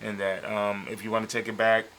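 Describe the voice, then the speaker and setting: Chef in his fifties, bright voice. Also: fairly low pitch, a little fast, a little hiss or hum. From Liberia. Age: 50s. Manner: relaxed, hesitant with many ums, laughs easily. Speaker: chef and business owner, guest. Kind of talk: casual interview talk. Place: local TV studio.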